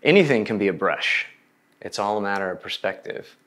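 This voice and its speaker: A middle-aged American man with a fairly low voice speaks as an artist being interviewed. He tells his story in a relaxed, conversational way, seated indoors. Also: a little fast. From Oklahoma, United States. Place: bright room indoors.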